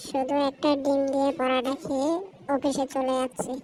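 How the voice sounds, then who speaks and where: Female office assistant for amateur cartoon woman actor: high voice, Bangladeshi accent, deferential, eager, heavy noise on the track. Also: completely flat delivery, brisk, lightly acted. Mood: admiring, chatty. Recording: very noisy recording with clicks.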